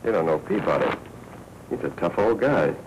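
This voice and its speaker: Muffled, faintly hissy 1940s film sound. a cool American newspaper editor with a low voice, terse, wry and unflappable in classic noir style. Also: male voice, lively intonation, moderate pace, darkish tone. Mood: wry, steady.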